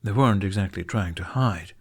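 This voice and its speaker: Man acting young male adventurer, lowish pitch, reassuring, playful, protective, audiobook dialogue. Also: a little fast, slightly rough. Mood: concerned. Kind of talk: fan fiction audiobook character voice.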